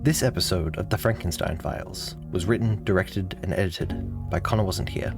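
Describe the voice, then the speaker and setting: Low-voiced man over prominent music. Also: moderate pace. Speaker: credits announcer. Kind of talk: scripted credits read.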